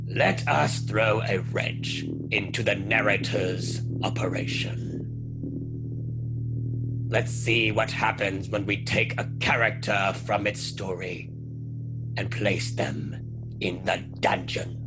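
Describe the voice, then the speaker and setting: A man playing a mysterious host in a radio play, addressing the audience in a deliberate, portentous, theatrical way. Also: on the low side, creaky, over music. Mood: mysterious, rousing. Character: mysterious, theatrical puppet-master host stirring up the story world.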